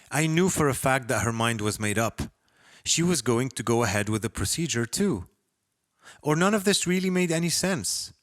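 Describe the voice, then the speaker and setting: Man, author from Lebanon, scripted story narration, medium pitch, dry echo-free recording. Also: fairly bright tone. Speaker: author reading own short story. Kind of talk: scripted first-person literary narration.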